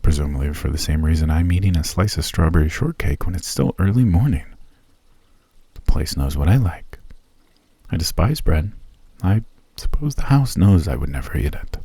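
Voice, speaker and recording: A man voicing a courteous, centuries-old male elf who hosts a traveler in an ASMR roleplay, speaking in a gracious, formal, soft manner. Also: a bass voice, lively intonation, measured pace, slightly rough, no echo, full character voice. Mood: friendly. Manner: gracious, formal and softly spoken. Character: ancient male elf host, courteous and genteel.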